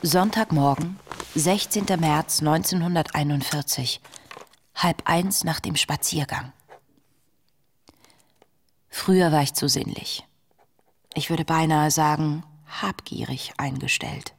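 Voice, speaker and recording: An actress playing an introspective young woman who reads her diary in a radio play, earnest and confessional, with a breathy voice. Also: low-pitched, heavily acted. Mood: reflective, intimate. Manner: reflective, measured, earnest, confessional. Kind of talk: literary radio play monologue, intimate diary narration.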